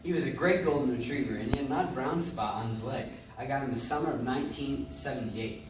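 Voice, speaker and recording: A man's voice: mid-range voice, fast, lightly acted. A man playing a weary, anxious former investigator with memory loss in readers theatre. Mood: wistful, uneasy. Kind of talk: readers theatre stage acting. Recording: echoey room, distant mic, background noise.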